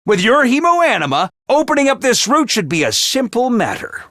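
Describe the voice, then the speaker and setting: An actor playing a brisk, scheming male academy official who is theatrical and cold, swinging between booming orders and hushed asides. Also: big pitch swings, heavy background noise. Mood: commanding. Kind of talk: video game voice lines. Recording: dry studio voice recording.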